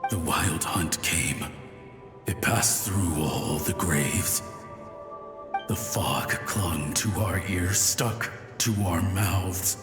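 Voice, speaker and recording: A man voicing the ghost of a lighthouse-keeping soldier in a video game, folksy and chatty when calm and barking frantic orders when alarmed. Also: sits low, drawn-out words. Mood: haunted. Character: ghost of a male soldier lighthouse keeper, friendly then panicked. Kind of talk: game voice acting. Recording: echoey ghostly reverb. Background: game music.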